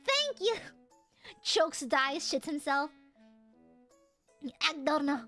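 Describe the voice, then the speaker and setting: A woman streamer chatting casually with her viewers, loud and crude, teasing them and swearing a lot, then suddenly dropping into mock-serious mutters. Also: high-pitched, slow, faint music behind. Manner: animated, jumps between shouting and mock-serious mutters. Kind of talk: casual live-stream chat.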